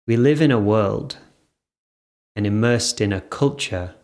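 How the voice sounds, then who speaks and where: A man leading an off-the-cuff guided meditation, speaking in short phrases with gentle pauses between them. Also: lowish voice.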